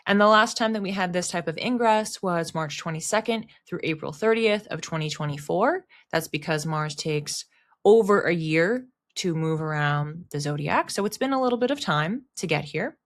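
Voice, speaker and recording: A woman's voice: fairly low pitch, lively intonation, moderate pace. A woman giving an explanatory solo talk to viewers in a conversational manner, restating words for emphasis. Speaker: astrology content creator and host.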